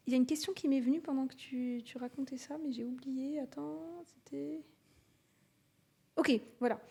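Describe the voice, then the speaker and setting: Here is a woman with a fairly high voice, hosting a relaxed interview podcast. She talks casually, murmurs along while listening, and works her questions out aloud before asking them. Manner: conversational, frequent listening murmurs, thinks aloud. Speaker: podcast host and executive coach.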